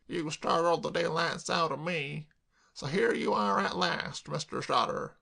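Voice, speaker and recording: A bluff, grandiloquent swindler with a raised, slightly gravelly voice that sounds unlike the plain narration, as a male reader puts him on. He talks slowly and grandly, full of wounded dignity. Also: fairly high pitch, sharp tone, exaggerated voice. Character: bluff pompous middle-aged male con man.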